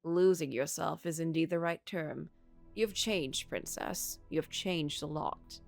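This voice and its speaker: A woman's voice: low voice, gravelly, soft background music. Woman playing a formal, menacing fairy godmother. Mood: grave. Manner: formal, measured phrasing.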